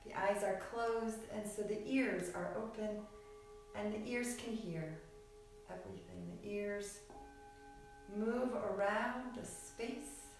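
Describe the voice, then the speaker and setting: Echoey, distant woman guiding a relaxation. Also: mid-range voice. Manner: soothing, unhurried, short phrases with long pauses. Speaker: yoga nidra teacher. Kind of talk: guided relaxation meditation. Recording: very echoey room, distant mic, music behind. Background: music.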